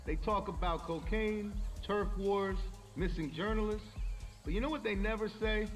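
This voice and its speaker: High-voiced, gushing party speechmaker, an actor's role. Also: male voice, normal speed, faint music behind, natural voice. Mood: gushing, solemn. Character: fawning, sentimental young male party host. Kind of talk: public toast.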